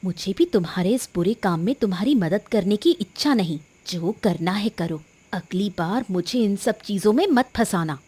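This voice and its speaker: A woman's voice: mid-range voice, expressive, normal speed, few pauses, darkish tone, slightly rough. A woman voicing a fretful, nagging cartoon wife who complains insistently. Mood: annoyed. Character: fretful nagging wife, squeamish about insects. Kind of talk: Hindi moral-story cartoon dubbing.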